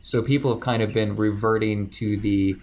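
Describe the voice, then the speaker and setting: A man's voice: lowish voice, steady tone, moderate pace, darkish tone. A man narrating a tabletop role-playing game as game master, loose and joking, describing scenes with plenty of fillers.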